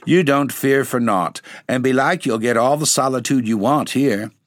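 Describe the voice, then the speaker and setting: A man's voice: normal speed, no echo, clean studio sound, lightly acted. A man reading aloud, voicing a superstitious old charwoman with a blunt, emphatic country manner. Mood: knowing. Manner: emphatic, dialect-heavy, rising pitch.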